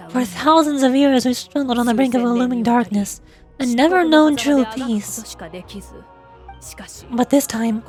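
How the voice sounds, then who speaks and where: A woman's voice: high voice, darkish tone, close to an everyday voice. A woman voicing a determined, burdened female warrior ruler with earnest resolve, in fantasy game dialogue. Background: music.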